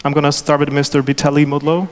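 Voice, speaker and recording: A man with a Czech accent moderates a conference panel. He speaks formally and evenly, keeping his voice flat, smooth and clear. A long hall reverb trails his words. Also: a little high. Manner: formal, measured. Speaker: moderator, immigration attorney.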